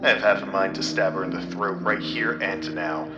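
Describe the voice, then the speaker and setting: A man playing a deadpan, self-assured but clueless private detective in a comic audio drama, narrating in hard-boiled parody style. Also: low-pitched, moderate pace, recorded from a distance, highs cut off, lightly acted. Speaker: narrator and lead detective character. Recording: echoey room. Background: music.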